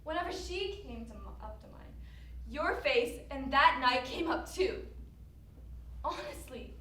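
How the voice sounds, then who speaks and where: A woman acting an accusing, protective best friend in a stage play, speaking with emphatic dramatic pauses, recorded from afar in an echoey hall. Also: high voice, expressive, pushed and bright, full character voice. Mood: hurt, bitter. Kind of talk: student one-act stage play acting. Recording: very echoey hall, far mic, faint noise.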